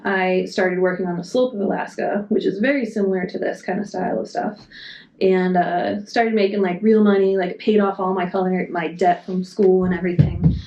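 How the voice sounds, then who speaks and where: A woman talking casually in a matter-of-fact way, rambling a little and filling pauses with ums and likes. Her voice is mellow and relaxed. The sound is dull and echoes around the room. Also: on the low side. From Massachusetts, USA. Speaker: Antarctic program support worker. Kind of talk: casual interview talk.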